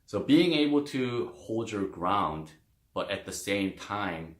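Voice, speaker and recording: A man talks through a career topic in a relaxed, chatty way, sprinkling in "like" and "you know." His voice sits low. The room around him is roomy and echoey. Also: slow. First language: Korean. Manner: loose and friendly, many "like" and "you know" fillers. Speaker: video host explaining a career. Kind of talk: casual explanatory talk to camera. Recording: roomy echo.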